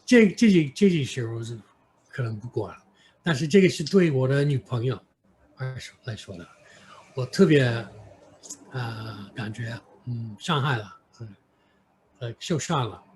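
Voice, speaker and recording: A man from New York with an American accent, an interview guest telling stories casually and haltingly, sometimes switching between English and Mandarin. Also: mid-range voice, lively intonation, darkish tone, poor audio. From New York, United States. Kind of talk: casual conversational storytelling. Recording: clear background noise.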